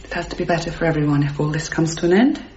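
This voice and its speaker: A woman's voice: deep voice, very fast, a little mellow, close to an everyday voice. A woman acting a guarded landlady, roomy sound. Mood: guarded, formal. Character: guarded, strong-willed landlady and mother. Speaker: actor. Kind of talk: radio drama acting. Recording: roomy, muffled radio broadcast, faint noise.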